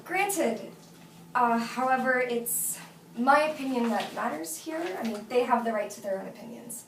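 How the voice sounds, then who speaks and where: An actress playing an exasperated but fond woman gently turning down her best friend, in naturalistic, hesitant film dialogue. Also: a little high, animated, normal speed. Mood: gentle, apologetic. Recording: roomy, distant mic with faint noise.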